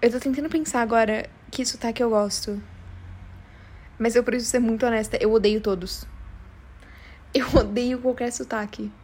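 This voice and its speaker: A woman's voice: a little high, fairly even intonation, moderate pace, pauses often, darkish tone. A young woman with a strong São Paulo Brazilian accent, chatting casually and confessionally in a rambling, slangy, emphatic way. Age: young adult. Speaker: podcast host.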